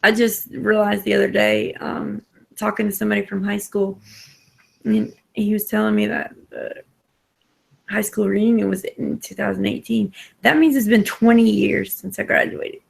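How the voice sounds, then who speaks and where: Thirty-something woman, super gravelly husky voice, rambling chat on a call. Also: mid-range voice, steady tone, moderate pace. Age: 30s. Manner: chatty, rambling. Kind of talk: casual late-night group chat. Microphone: online group video call.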